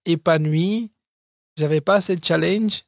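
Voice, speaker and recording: A man's voice: on the high side, a little slow, gravelly, no echo, studio quality. A man in his thirties, originally from Brazil, telling his story as a guest in a casual podcast interview, with frequent hesitations and restarts. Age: 30s. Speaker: guest entrepreneur.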